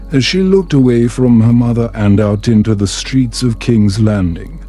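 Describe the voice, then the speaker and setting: A man reading a scripted bedtime story as a narrator, in a calm, measured, soothing way. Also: medium pitch.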